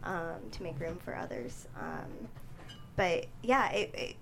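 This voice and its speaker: A woman answering interview questions casually as a screenwriter, in a halting, thoughtful way. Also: fairly low pitch, creaky, in a whisper, low-quality recording. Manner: hesitant, thoughtful, halting delivery. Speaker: screenwriter guest being interviewed.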